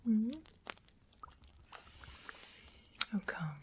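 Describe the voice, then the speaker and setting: A woman's voice: moderate pace, dark tone, slight background noise, lightly acted. A woman playing a sweetly sinister witch in an ASMR fantasy roleplay, whispering coyly and coaxingly with doting endearments. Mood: coaxing, sly.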